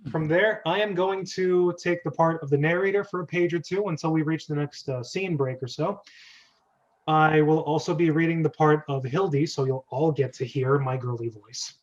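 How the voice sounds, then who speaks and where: A man's voice: on the high side, normal speed. A man hosting a script reading, chatting casually and jokily as he explains and directs. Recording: dull, lo-fi sound.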